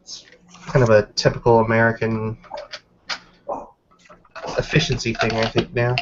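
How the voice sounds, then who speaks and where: A man's voice: mid-range voice, monotone, normal speed, noisy background, lightly acted. A man playing a polite, poised and curious woman in a tabletop roleplaying game, speaking carefully and conversationally. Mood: friendly. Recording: slightly dull lo-fi mic.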